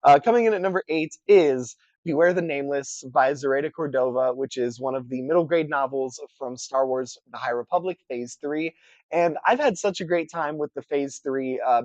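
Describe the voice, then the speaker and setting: A man's voice: mid-range voice, normal speed, no echo, rough recording. Congested nasal man reviewing books. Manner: relaxed, chatty, filler-heavy with restarts. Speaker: book reviewer. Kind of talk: casual book review vlog.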